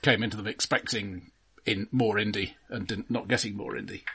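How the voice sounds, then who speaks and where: A man's voice: on the low side, fairly even intonation, brisk, creaky, sharp tone, husky. A man co-hosting a casual film discussion, speaking in an analytical, somewhat hesitant way with care over details. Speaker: podcast co-host.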